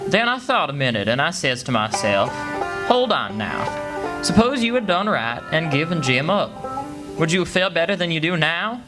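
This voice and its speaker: Man as country lad narrator, slightly high voice, folksy, reflective, guitar behind. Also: big pitch swings, normal speed. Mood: troubled, reflective. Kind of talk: first-person radio play narration. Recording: live stage, guitar music behind.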